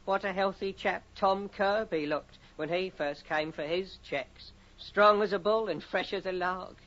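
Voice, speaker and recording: Gossipy clerk, muffled old recording. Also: male voice, sits high, unhurried, a bit bright. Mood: gossipy, pitying. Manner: chatty, officious. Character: gossipy, officious male solicitor's clerk, blunt but pitying. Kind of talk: radio horror drama acting. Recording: dull, lo-fi vintage radio recording.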